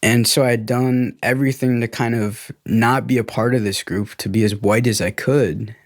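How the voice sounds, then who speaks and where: A man's voice: medium pitch, fairly even intonation, normal speed, dry recording, studio quality. A young American man talking candidly and informally about himself in a reflective personal conversation. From United States, born in Guatemala. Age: young adult. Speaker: podcast co-host telling his own life story.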